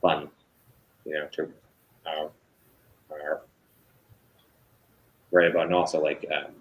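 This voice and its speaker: Man, very low voice, author guest, hesitant, lots of fillers, casual chat. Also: little pitch movement, narrow, tinny audio. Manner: halting, many fillers and restarts.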